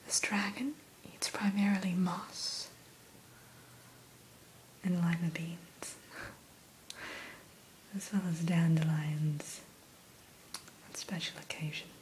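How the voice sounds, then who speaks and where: A woman whispering a gentle, courteous ASMR roleplay as the keeper of a fantasy creature shop, in a breathy voice. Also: fairly low pitch, rough recording. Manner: slow, gentle, courteous shopkeeper patter. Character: gentle, courteous female keeper of a dragon hatchery. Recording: steady hiss behind close whisper.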